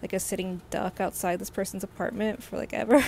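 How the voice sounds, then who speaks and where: A young woman, slightly hoarse, telling a rambling casual storytime full of "like" fillers. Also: medium pitch, steady tone, a little fast, darkish tone, slight background noise. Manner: rambling, heavy use of "like" fillers. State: slightly hoarse from a sore throat. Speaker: vlogger.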